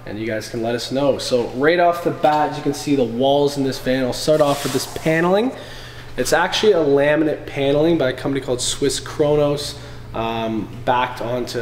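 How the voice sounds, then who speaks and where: A man's voice: mid-range voice, a little hiss or hum. A young man, stuffy with a head cold, casually presenting a van tour to camera in a workshop. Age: young adult. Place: inside and beside a van in a workshop garage.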